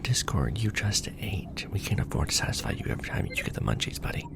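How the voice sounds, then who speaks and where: A man acting a wry, casual host of an alien symbiote in an ASMR roleplay, with a breathy, creaky voice. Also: low-pitched, very animated, fast, very noisy, full character voice. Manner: casual, wry, hesitant in explanations. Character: weary, joking young man bonded to an alien symbiote.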